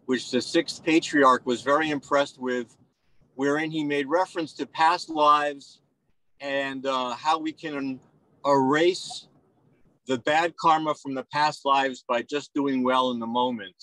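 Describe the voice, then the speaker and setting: A man with a mid-range voice and a dry sound, speaking as a questioner on a video call. His delivery is halting and hesitant, with repeated word starts as he thinks aloud. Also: mid-range voice, fairly even intonation, a bit bright, no echo, low-quality recording. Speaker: audience member asking questions. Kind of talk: spontaneous question in a group discussion.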